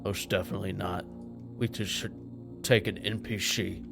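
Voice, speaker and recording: Low-voiced, blunt man at a game table. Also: steady tone, moderate pace, gravelly, over loud music. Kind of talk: casual tabletop roleplay chat.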